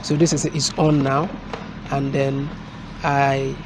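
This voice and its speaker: A man giving an unscripted hands-on installation tutorial as a technician, explaining step by step in a halting way. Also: medium pitch, level tone. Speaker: technician presenting a product installation. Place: indoors at a desk, filmed from above. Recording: noisy room with street and vehicle sounds.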